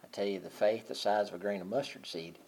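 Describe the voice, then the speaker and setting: A middle-aged man preaching a sermon, talking casually and then swelling loud and high on key points. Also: fairly even intonation, fast, breathy edge. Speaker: pastor.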